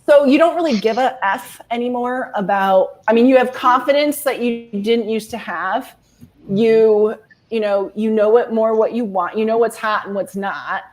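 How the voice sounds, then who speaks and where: A woman's voice: fairly high pitch, moderate pace, a little mellow. A woman in her forties, a guest author chatting casually, rambling with many restarts and fillers. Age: 40s. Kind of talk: casual video-call chat.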